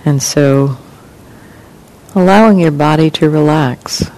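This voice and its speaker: A woman meditation teacher leading a guided meditation in calm, gentle, unhurried phrases with long pauses. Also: low-quality recording. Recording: clear rumbling background noise.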